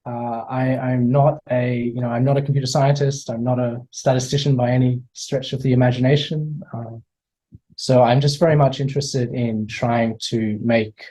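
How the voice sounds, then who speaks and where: An Australian man in his thirties gives a lecture over a video call. His voice is mellow and dark. He talks in a hesitant way, with many fillers and repeated words as he gathers his thoughts. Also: medium pitch, steady tone, moderate pace, rough recording. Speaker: guest speaker. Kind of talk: online lecture.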